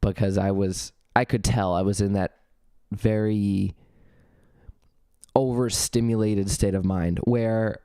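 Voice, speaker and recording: A young man with a low, smooth voice that a cold has left slightly gravelly talks through his own podcast. He rambles loosely, full of ums and false starts. The sound is close and dry on a studio mic. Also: fairly even intonation, slow pace, pauses often, dark tone, dry recording. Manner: rambling, many ums and restarts. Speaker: podcast host. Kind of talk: casual solo podcast talk. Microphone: studio vocal mic.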